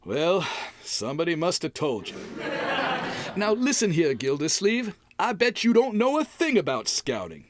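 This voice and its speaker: Man acting a testy, high-pitched radio comedy grouch. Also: huge pitch swings, normal speed, noisy background. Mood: scoffing challenge. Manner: exasperated, folksy, exaggerated delivery. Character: grumpy homeowner tidying his cluttered closet, testy.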